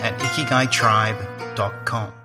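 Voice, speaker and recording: A man's voice: on the low side, steady tone, slow pace, over music. A man in his early fifties hosting a podcast interview in a conversational style. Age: early 50s. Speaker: podcast host.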